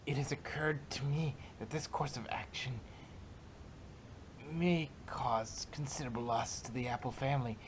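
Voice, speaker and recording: A man's voice: medium pitch, normal speed, a little hiss or hum, natural voice. A man voicing a nervous, deferential male pony assistant, formal and uncertain, in a fan fiction reading. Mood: uneasy, pleading.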